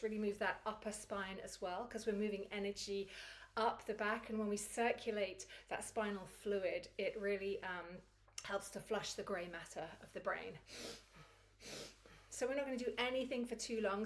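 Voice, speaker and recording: A woman with a croaky, recovering voice teaching a Kundalini yoga routine in a chatty, encouraging way. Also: medium pitch, moderate pace. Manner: chatty and encouraging, laughs easily. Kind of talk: guided yoga class instruction.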